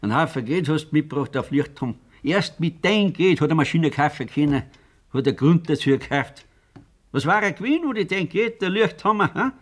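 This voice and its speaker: A man's voice: a little high, expressive, a little fast. An actor playing a stern, blunt old farmer father with a Bavarian accent in a German radio play. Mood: urgent, goading. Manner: scolding, blunt, rustic.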